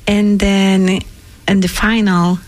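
A woman joining the panel to share her experience, eager and a little halting. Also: mid-range voice, flat delivery, darkish tone. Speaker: program participant on the panel.

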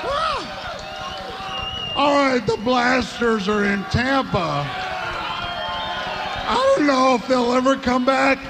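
A hoarse man working as emcee at a live music show, shouting enthusiastic announcements to the crowd. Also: very high-pitched, very animated, takes it slowly, long pauses, noisy background. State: hoarse, lost his voice. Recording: loud band and crowd behind. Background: loud music and cheering crowd.

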